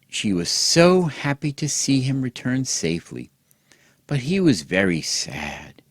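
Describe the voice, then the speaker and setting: A man hosting and telling a mock children's bedtime story in an exaggerated gentle, sing-song way, as if speaking to small children. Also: mid-range voice, no room sound, studio quality. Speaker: host and storyteller.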